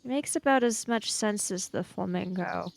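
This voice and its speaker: A woman's voice: mid-range voice, moderate pace, gravelly, slight background noise. A woman chatting casually and improvising dialogue as a player in a tabletop roleplaying game.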